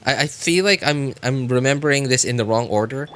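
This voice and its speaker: A man chatting casually to viewers as a game livestreamer, rambling and often repeating himself in a halting way. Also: mid-range voice, fairly bright tone, no room sound.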